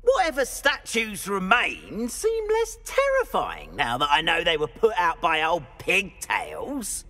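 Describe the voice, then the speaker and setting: A man playing an excitable, gossipy older man in a video game, theatrical and exclamatory, gleefully mocking as he talks. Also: high voice, slow pace, hardly any pauses, heavily acted. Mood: gleeful reminiscing. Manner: theatrical, exclamatory, prone to laughing. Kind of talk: video game character acting.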